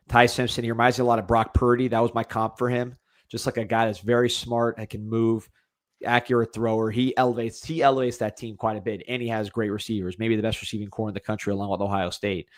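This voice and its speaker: A man hosting a sports podcast, talking casually and repeating words as he works through his thoughts. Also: mid-range voice. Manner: loose, repeats words while thinking. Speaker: podcast host.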